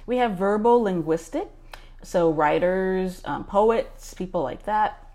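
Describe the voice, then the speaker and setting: A woman's voice: medium pitch, slow, mellow. A woman hosting a book club podcast, talking through a list in a casual, enthusiastic way with frequent ums.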